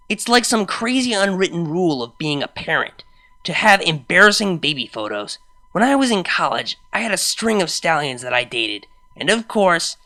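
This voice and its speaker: Bright, forceful young unicorn mare, as a reader plays her. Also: mid-range voice. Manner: casual, expressive, confiding. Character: young female unicorn narrator, wry, brash, fond of her partner. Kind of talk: first-person fan fiction reading, conversational.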